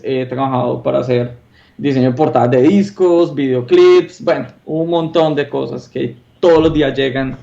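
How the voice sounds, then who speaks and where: Colombian male interview guest, thirties, higher-set voice, enthusiastic and emphatic conversational delivery, slightly dull lo-fi sound. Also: gravelly, dark tone. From Popayán, southern Colombia. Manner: enthusiastic, emphatic, often adds a questioning "¿sí?". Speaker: interview guest, concept artist.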